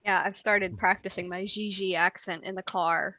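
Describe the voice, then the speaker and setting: A woman co-hosting a podcast in casual chat, upbeat and quick to laugh. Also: mid-range voice, steady tone, pushed and bright, no echo. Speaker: podcast co-host.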